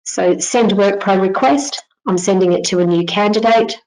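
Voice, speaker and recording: A woman giving an informal narrated software tutorial, explaining things step by step as a company presenter. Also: mid-range voice, normal speed, slight background noise, rough recording. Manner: instructional, step-by-step.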